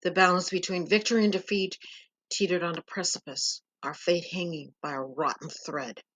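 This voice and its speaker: A woman's voice: lowish voice, fairly even intonation, normal speed, fairly bright tone, poor audio. A woman giving an earnest, suspenseful first-person narration of a dramatic story.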